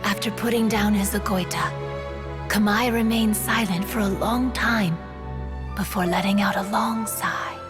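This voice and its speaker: A woman playing a storyteller recounting a tale in a game cutscene, measured and scripted. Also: mid-range voice, fairly even intonation, natural voice. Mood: storytelling. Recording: music behind the voice.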